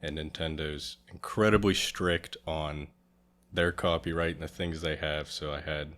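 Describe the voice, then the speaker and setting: Low-voiced man giving casual opinions. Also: steady tone, a little slow, a bit bright. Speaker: guest reviewer. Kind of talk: casual review discussion.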